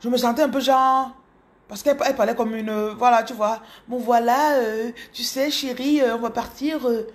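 Cameroonian woman in her thirties, higher voice, animated phone vlog to followers. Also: normal speed. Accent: Cameroonian French. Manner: animated, repeats words, addresses viewers directly. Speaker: business coach. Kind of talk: casual motivational storytelling. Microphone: phone camera held close.

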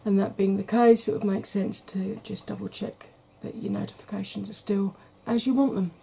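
A middle-aged woman chatting softly in a rambling, gentle way for an ASMR makeup vlog, seated in a small room at home. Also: medium pitch, fairly even intonation, normal speed, vocal fry, a little hiss or hum. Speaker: beauty YouTuber. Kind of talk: soft-spoken ASMR makeup chat.

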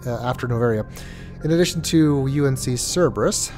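A man's voice: medium pitch, lively intonation, brisk, a little mellow, faint music behind. Stuffy-nosed man doing game commentary. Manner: hesitant, with many ums and uhs. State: congested, stuffy nose. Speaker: let's play host. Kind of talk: casual gameplay commentary.

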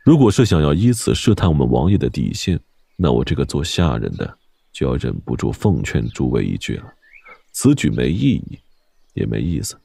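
A middle-aged steward in a martial arts audio drama. His voice is extremely deep, dark and gravelly. He speaks with mild courtesy and understated menace, moving widely in tone while staying composed. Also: normal speed, full character voice. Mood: quietly threatening. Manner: calm, courteous, understated warning.

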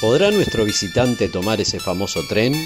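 A man's voice: lowish voice, big pitch swings, normal speed. A man reading dramatic scripted narration as the narrator of a radio play. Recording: loud music under the voice. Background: background music.

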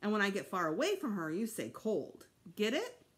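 A woman's voice: medium pitch, wildly swooping pitch, normal speed. A woman voicing a sensible older brother, a schoolboy taking charge, in a children's audiobook with an earnest delivery. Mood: coaxing.

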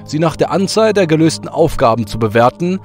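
A man playing a stern, booming ruler of the gods in an audio drama. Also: lively intonation, a little fast, soft background music, lightly acted. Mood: jubilant, commanding.

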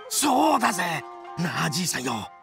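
A cocky young oni gang boss for an anime game. The voice is high for a male, gravelly and wildly animated, full of boastful, slangy bluster. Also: moderate pace, music in the background, full character voice. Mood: indignant, excitable. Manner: cocky, rough slangy speech. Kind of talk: video game voice acting.

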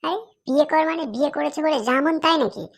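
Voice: high-pitched, quick pace, vocal fry. An actor voicing an indignant, opinionated friend in a comedy cartoon. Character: indignant, opinionated friend who scolds and persuades.

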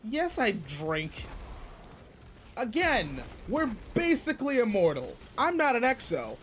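A man's voice: extremely high pitch, wildly swooping pitch, slow pace, fairly bright tone, slight background noise, heavily acted. A man playing a seasoned but laid-back space guardian mentor in a video game roleplay, casual, slangy and wry as he talks to a partner. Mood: defensive, animated. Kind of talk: video game roleplay audio, in-character monologue.

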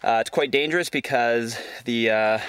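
A man in his thirties talking unscripted to a camera outdoors on a canoe trip, with a halting delivery. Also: medium pitch, a bit bright, smooth, no room sound. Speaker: expedition filmmaker and presenter. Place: outdoors by a wild river and trail. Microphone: handheld camera at arm's length. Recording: noisy outdoor camera audio with ambient sound.

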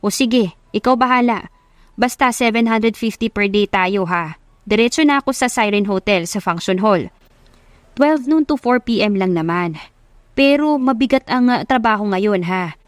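A woman's voice: mid-range voice, lively intonation, normal speed, no echo, lightly acted. A woman playing a caring but bossy old neighbourhood woman with a fretting, familiar manner in an audio love story. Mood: businesslike. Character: fussy, caring old neighbourhood woman who hires helpers.

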